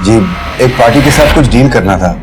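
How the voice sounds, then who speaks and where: अभिनेता की आवाज़ में बेचैन पिता, बहुत उतार-चढ़ाव वाला स्वर. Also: male voice, mid-range voice, expressive, a little fast, natural voice. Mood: uneasy. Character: tense, deferential family man, father of two daughters. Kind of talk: TV crime drama acting. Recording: loud background music over dialogue.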